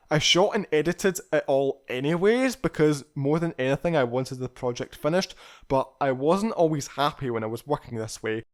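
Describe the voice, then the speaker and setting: Reflective man narrating a retrospective. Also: fairly high pitch. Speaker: video creator narrating behind the scenes. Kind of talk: scripted personal retrospective narration.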